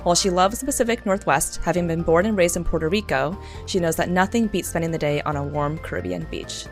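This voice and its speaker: Lower-pitched woman co-hosting. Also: fast talker, vocal fry, soft background music. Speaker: podcast co-host. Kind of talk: podcast introduction and questions.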